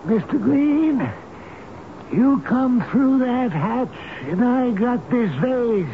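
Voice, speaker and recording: An actor playing a gentle but defiant old elevator operator with folksy speech in a radio drama. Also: male voice, very high-pitched, expressive, takes it slowly, full character voice. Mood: defiant, excited. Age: older. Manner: folksy, talks tenderly to his elevator. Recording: noisy, phone-like old radio broadcast.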